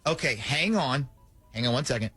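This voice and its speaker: A man hosting a morning radio show, talking in an animated, conversational way during a prank call segment. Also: medium pitch, moderate pace, slightly rough, faint music behind.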